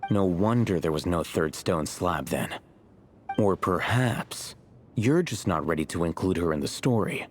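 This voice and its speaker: A man's voice: low-pitched, lively intonation, unhurried, a little hiss or hum. A man voicing a cool, skeptical character in a video game, with a dry and pointed delivery. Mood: dry skepticism. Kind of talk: scripted game dialogue.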